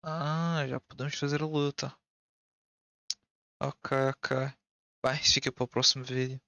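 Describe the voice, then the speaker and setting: Gravelly man commenting on gameplay. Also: mid-range voice, steady tone, normal speed, bright, forceful tone, dry recording, highs cut off. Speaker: gaming video host. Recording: game music behind the voice. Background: game music.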